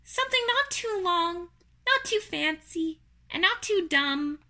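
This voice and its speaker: A woman as tiny spider girl, piping high small voice, friendly, chirpy, eager, storybook character. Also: lively intonation, a little slow, pushed and bright, exaggerated voice. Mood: cheerful. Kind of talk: children's storybook character reading.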